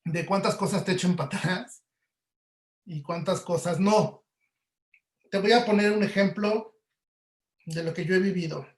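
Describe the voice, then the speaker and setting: A man with a Mexican Spanish accent giving casual, explanatory interview answers through everyday examples, as a guest audio producer. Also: sits high. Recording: roomy, echoey webinar audio.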